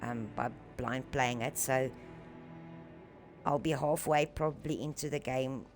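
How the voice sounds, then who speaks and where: A woman's voice: extremely low pitch, fairly even intonation, unhurried. A South African woman whose first language is Afrikaans, chatting casually as a game streamer in a rambling way with many fillers, speaking into a headset. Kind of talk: casual live-stream chat. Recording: voice over loud game music.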